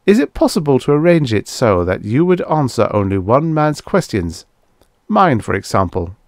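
A man's voice: medium pitch, very animated, moderate pace, no echo, studio quality, pitched above the performer's own voice. Man acting a brash, greedy space explorer. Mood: eager, impatient. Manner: brash, forceful, dismissive. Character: greedy, stubborn, impatient male space explorer.

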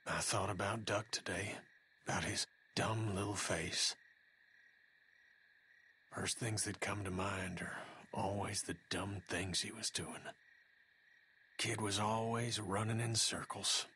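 A man's voice: fairly low pitch, steady tone, slow pace, long pauses, sharp tone, slightly rough, full character voice. An actor playing a weary, grieving middle-aged father in a game. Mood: grieving, reflective.

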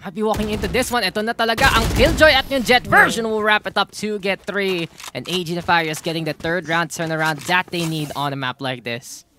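A man with a Filipino accent giving energetic, code-switching play-by-play of a live game. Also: sits high, a little fast, background noise. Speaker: esports commentator.

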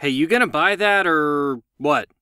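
An actor playing a bored, snide, underpaid store cashier in a visual novel game. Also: male voice, a little high, animated, a little slow, bright, forceful tone, clear, resonant tone, no echo, studio quality.

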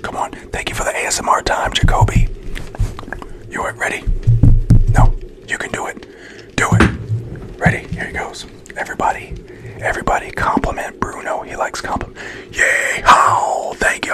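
A man's voice: normal speed, long pauses. A young man hosting a casual livestream, greeting viewers and playfully scolding his dog in a whispery voice. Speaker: livestream host.

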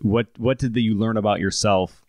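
A man's voice: lowish voice, fairly even intonation, normal speed, no echo, clean studio sound. A man in his thirties hosting a podcast, asking long rambling questions with restarts. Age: 30s. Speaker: podcast host. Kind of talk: casual interview conversation.

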